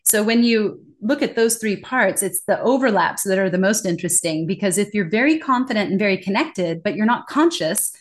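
A woman of middle pitch speaks as an interview guest, explaining her ideas with animated emphasis. She laughs easily and often as she talks. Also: a little fast, hardly any pauses. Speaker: interview guest, corporate communication trainer. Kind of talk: conversational interview.

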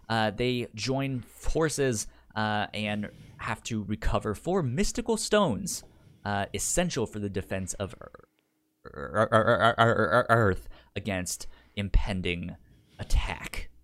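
A man co-hosting a podcast, chatting casually about films in a halting way, with a pronounced stutter that repeats sounds and syllables. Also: fairly low pitch, animated, a bit bright. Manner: halting, hesitant delivery. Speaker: podcast co-host. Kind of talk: casual film discussion.

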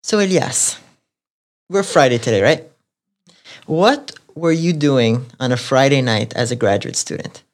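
A man's voice: mid-range voice, lively intonation, unhurried. A man co-hosting a podcast, upbeat and chatty, leaning on "you know" and "like". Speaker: podcast co-host. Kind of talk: casual podcast chat.

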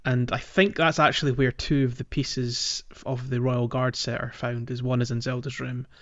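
Man, medium pitch, casual podcast host, conversational delivery, slightly dull recording. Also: flat delivery, normal speed, no echo. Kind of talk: casual gaming discussion.

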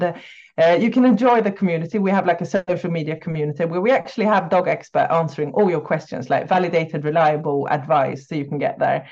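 A woman guest on a podcast, answering quickly with frequent "uh" fillers. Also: fairly low pitch, a little mellow, muffled recording. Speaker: podcast guest, dog behaviour consultant. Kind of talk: casual interview answers.

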